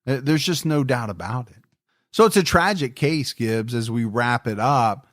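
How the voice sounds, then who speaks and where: A man hosting a true-crime podcast, talking in an earnest, reflective way. His voice sits at a middle pitch. The sound is dry and close, with no room echo. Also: animated, normal speed. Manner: earnest, reflective, repeats words when stressing a point. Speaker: podcast host.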